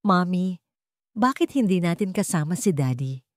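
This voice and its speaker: A woman's voice: lowish voice, lively intonation, normal speed, no room sound, clean studio sound, close to an everyday voice. Lady doing a tiny anxious 5 yr old girl for an audio story, small shaky innocent voice. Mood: puzzled, innocent. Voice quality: small, trembling.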